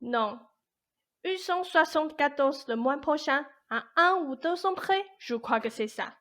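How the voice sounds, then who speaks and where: A woman's voice: high voice, lively intonation, measured pace, fairly bright tone. A woman acting as a jaded, ancient immortal fairy godmother in a radio play, blunt, colloquial and mischievous. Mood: weary, nostalgic. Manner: blunt, colloquial, world-weary. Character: jaded 874-year-old immortal fairy godmother, grumbling but mischievous.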